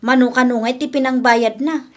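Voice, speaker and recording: A chatty village busybody with a very high voice and an Ilocano Filipino accent, acted by a woman. She is curious and gossipy, in the style of a radio comedy drama. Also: fairly even intonation, normal speed, a little mellow, close to an everyday voice. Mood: curious, friendly.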